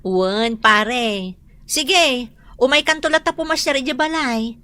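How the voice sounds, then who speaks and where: A woman's voice: sits high, slow, bright, forceful tone, dry recording, full character voice. An actress playing a trusting young woman who laughs easily in a radio drama.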